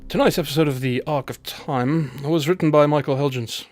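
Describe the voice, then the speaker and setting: A man announces a radio drama's credits in a scripted sign-off. His voice sits fairly high for a man, with faint music behind it. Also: a little fast, a little mellow. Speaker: announcer reading closing credits.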